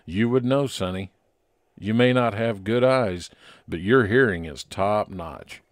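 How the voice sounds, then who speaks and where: A man playing a friendly, observant private investigator, narrating an old-time radio detective story in a measured way. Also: on the low side, no room sound, close to an everyday voice. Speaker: narrator and lead actor.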